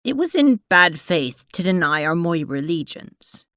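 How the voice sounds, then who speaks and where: A woman playing a prim, earnest young alien troll in an audio drama, speaking formally and haltingly with an awkward, apologetic manner. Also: on the low side, sing-song, a bit bright, no echo, clean studio sound. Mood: sincere remorse.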